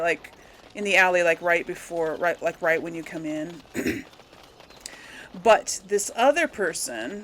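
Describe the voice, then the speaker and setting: A woman's voice: mid-range voice, a bit bright, slight background noise. A woman giving casual gameplay commentary, rambling and thinking aloud as she goes. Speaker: let's play host.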